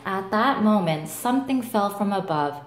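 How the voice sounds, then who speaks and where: A woman reading a children's picture book aloud, slow and expressive, giving the animals their own voices. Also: reverberant. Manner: slow, expressive, voicing each animal with lifts in pitch. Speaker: storybook reader. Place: table with picture book, indoors.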